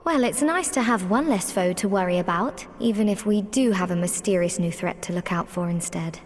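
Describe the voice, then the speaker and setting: An actress playing an amiable, practical adventurer in a fantasy game, talking in a polite, conversational way. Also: female voice, mid-range voice, a little fast, natural voice. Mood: friendly, practical. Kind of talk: fantasy game cutscene acting. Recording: long reverb, noisy ambience behind.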